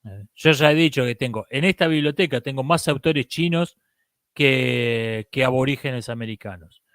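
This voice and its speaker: A man's voice: mid-range voice, fairly bright tone, no room sound. Opinionated Argentine man, dry close sound. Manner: emphatic, repeats himself, halting restarts. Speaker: livestream host answering viewer questions. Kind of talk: casual opinionated talk.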